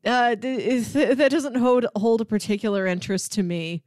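A woman's voice: a little high, moderate pace, darkish tone, dry recording, clean studio sound. A woman co-hosting a movie podcast in casual, conversational talk. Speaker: podcast co-host.

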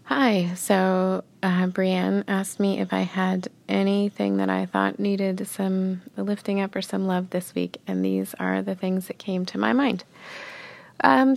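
A woman leaving an informal, reflective message as a caller, hesitant and pausing to think. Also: mid-range voice, vocal fry, a little mellow. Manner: hesitant, thoughtful pauses. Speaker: voicemail caller.

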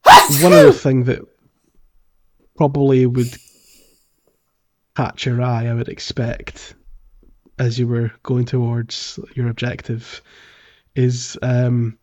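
A man's voice: mid-range voice, sing-song, takes it slowly, long pauses. A man hosting a casual gaming discussion in a jokey way, sometimes acting out lines in character. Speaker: podcast host. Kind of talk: casual video game discussion.

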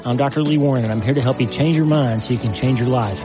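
A man's voice: mid-range voice, fast. Man introducing podcast over music. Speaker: podcast host, neurosurgeon and author. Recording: clear music bed under voice.

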